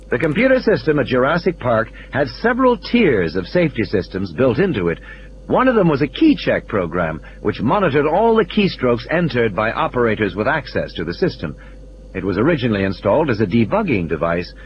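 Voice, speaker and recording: A man's voice: medium pitch, muffled recording, slight background noise. A man reading scripted dramatic narration as the narrator of an audio play. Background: faint ambient sound effects.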